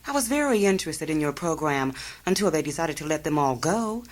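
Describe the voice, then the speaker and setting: A woman's voice: low voice, expressive, fairly quick. A woman playing a blithe, polite but cold-blooded, fame-hungry wife being interviewed in satirical radio theater. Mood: callous, matter-of-fact.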